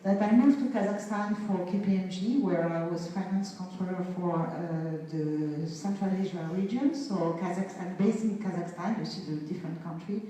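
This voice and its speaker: A woman around fifty, from Morocco, giving a conference talk with many fillers and pauses. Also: lowish voice, dark tone. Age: 50s. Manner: halting, many fillers, laughs between points. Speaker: guest speaker. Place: event venue. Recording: echoey hall from far off.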